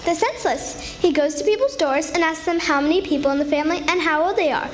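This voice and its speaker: A woman's voice: sits high, fast talker, close to an everyday voice. A woman playing a pert, contrary little child in a 1940s-style radio comedy, with teasing, childish phrasing. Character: pert, contrary little neighborhood child who pesters grown-ups. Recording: live stage recording with background noise.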